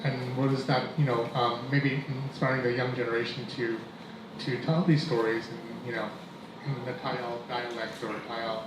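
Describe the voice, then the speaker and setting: A man's voice: mid-range voice, flat delivery, moderate pace. Rambling male moderator, echoey hall. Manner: rambling, many fillers. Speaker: panel moderator. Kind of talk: moderating panel. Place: event hall. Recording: echoey hall, dull sound, noise.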